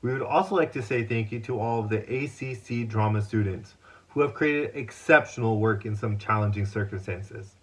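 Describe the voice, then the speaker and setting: A man presenting a showcase with a formal, scripted welcome speech, earnest and deliberate. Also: on the low side, fairly bright tone, low-quality recording.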